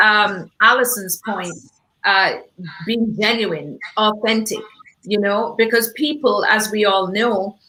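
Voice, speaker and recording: A woman's voice: medium pitch, a bit bright, rough recording. A woman from Jamaica with a Jamaican accent, hosting an event and talking off the cuff in an effusive, emphatic way. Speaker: event host. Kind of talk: unscripted panel discussion talk.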